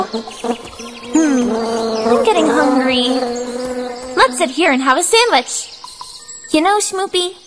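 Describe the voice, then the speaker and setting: A woman's voice: very high-pitched, heavily acted. A woman playing a cheerful young child doting on a toy puppy in an audio drama. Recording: music and birdsong behind the voice.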